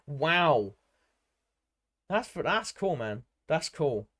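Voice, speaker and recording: A man's voice: a little high, big pitch swings, extremely slow, fairly bright tone. A man hosting a casual live stream, rambling in an informal, matey way as he hops between viewer comments. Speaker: livestream host and content creator.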